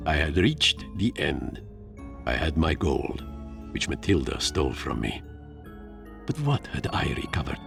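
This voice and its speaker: Game lead: pompous, brooding little inventor creature, very low pitch, wildly swooping melodramatic delivery. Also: male voice, big pitch swings, measured pace, creaky, long pauses, over music, heavily acted. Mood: melancholy. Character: Leopold, fluffy wealthy engineer creature, self-pitying, stubborn, theatrical. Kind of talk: dramatic first-person game narration.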